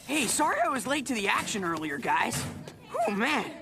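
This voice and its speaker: A woman's voice: a little high, very animated, normal speed. A woman voicing a chatty, easygoing school kid in a cartoon fan dub, casual and breezy. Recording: noisy home recording with clicks.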